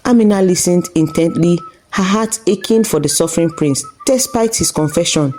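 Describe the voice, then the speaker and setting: Low-voiced, mellow woman storyteller. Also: normal speed, a little hiss or hum. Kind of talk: scripted folktale narration.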